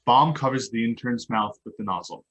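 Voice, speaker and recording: A man's voice: mid-range voice, a little fast, fairly bright tone. A man reading out stage directions as scripted narration at a play table read.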